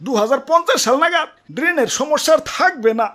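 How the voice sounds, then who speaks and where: Squeaky, evasive Bangladeshi cartoon bureaucrat. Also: male voice, lively intonation, fast talker, very noisy. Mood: dismissive, glib. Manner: glib, placating. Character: evasive, corrupt male city corporation official. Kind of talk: comic cartoon acting.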